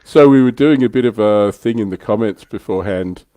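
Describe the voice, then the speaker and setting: A man's voice: on the low side, a little fast, mellow, no echo. A man hosting a livestream in a casual, chatty and informal way. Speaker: livestream host.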